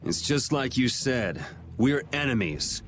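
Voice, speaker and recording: A man's voice: mid-range voice, expressive, a little slow, fairly bright tone, a little hiss or hum. An actor playing a cool, cocky young swordsman in a video game, curt and confident. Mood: cool defiance. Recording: music under the dialogue.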